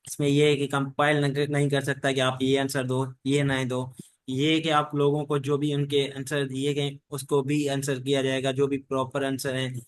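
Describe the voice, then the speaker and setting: A man's voice: mid-range voice, completely flat delivery, moderate pace, no room sound. A man teaching a class in an informal, rambling lecture style, often repeating stock phrases. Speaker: teacher giving an online law class. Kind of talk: informal lecture mixing Hindi-Urdu and English legal terms.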